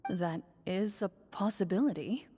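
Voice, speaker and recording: A woman playing a composed, serious young woman in a video game, speaking in a formal, measured, matter-of-fact way. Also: medium pitch, warm tone, soft background music, lightly acted.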